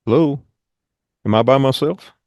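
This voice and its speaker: A man's voice: medium pitch, moderate pace, darkish tone, no room sound, studio quality. A man giving an informal club presentation over a video call, with rambling, folksy asides.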